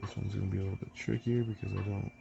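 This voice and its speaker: A man's voice: lowish voice, very fast, creaky, highs cut off, background noise. A man with a stuffy, congested voice chatting casually with many ums while he paints a model and explains each step. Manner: relaxed, rambling with many ums. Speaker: miniature painting channel host.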